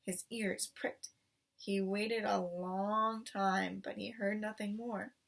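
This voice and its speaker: A woman reading a children's story aloud in expressive narration, recorded at some distance from the microphone. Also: medium pitch, takes it slowly, gravelly. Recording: voice distant from the mic.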